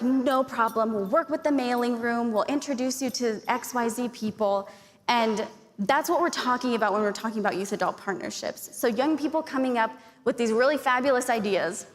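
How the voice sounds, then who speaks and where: A young woman from Arizona speaking on a conference panel, earnest and chatty, with lots of fillers and thanks. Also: a little high. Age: young adult. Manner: earnest, grateful, chatty with many likes and fillers. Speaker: youth panelist. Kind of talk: conference speech. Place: large conference hall. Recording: long hall reverb.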